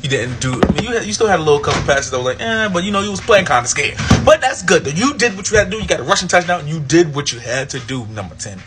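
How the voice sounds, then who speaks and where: A young man giving a casual, animated post-game review as a sports fan, rambling with frequent restarts. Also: fairly high pitch, quick pace, hardly any pauses, noisy background.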